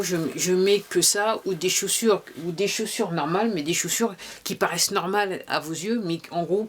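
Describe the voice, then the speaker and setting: A woman in her fifties talking casually to camera about her shoes. She rambles, restarts often and fills gaps with "euh" and "voilà". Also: on the low side. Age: 50s. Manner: rambling, many restarts and fillers. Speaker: vlogger.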